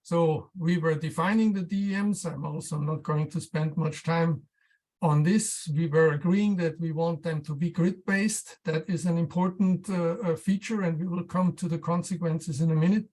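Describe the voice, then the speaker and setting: A researcher presents technical material in a medium-pitched voice. The delivery is explanatory and loosely prepared rather than read out. Phrases come haltingly, with words repeated while the next thought is put together. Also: fairly even intonation, darkish tone, rough recording.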